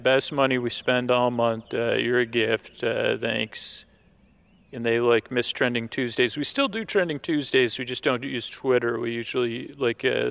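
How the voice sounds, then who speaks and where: A man hosting a sleep podcast in a creaky voice, rambling along in a low-key, hesitant, droning monologue. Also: a bit bright. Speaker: podcast host.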